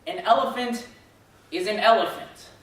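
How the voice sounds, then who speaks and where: Woman as a forceful, hard-nosed circus boss. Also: mid-range voice, normal speed, natural voice. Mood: stern, insistent. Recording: very echoey stage from far off.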